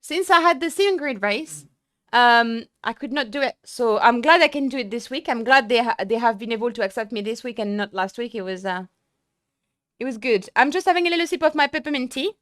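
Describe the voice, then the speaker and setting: A young woman streamer chatting casually and excitedly with viewers, full of fillers and restarts. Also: on the high side, brisk, dry recording, clean studio sound. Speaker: sim racing streamer. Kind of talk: casual live-stream chat.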